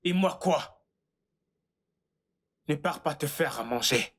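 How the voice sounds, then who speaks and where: A woman's voice: sits low, slow, breathy edge, exaggerated voice. A woman dubbing a stern older brother who lectures his teenage sister. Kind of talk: French dub of a film.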